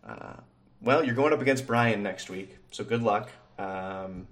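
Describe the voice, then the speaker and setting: A man's voice: fairly low pitch, unhurried, fairly bright tone. A young man co-hosting a casual sports podcast, rambling with lots of fillers and easy laughs. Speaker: podcast co-host. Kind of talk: casual chat.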